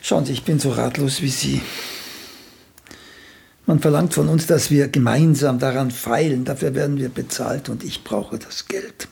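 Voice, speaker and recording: Gravelly, husky, condescending old playwright. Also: male voice, medium pitch, steady tone, normal speed, a little mellow, heavily acted. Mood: grudging, condescending. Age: older. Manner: opinionated, sardonic, tags questions with "gell". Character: blunt, vain, once celebrated old playwright, condescending. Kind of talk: German radio play acting.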